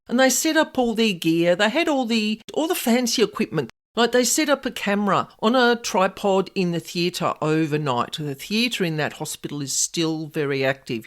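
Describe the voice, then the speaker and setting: A middle-aged woman hosting a podcast, telling stories in a loud, extravagant, easily sidetracked way. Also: fairly low pitch. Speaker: podcast host, paranormal investigator. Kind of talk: casual storytelling podcast.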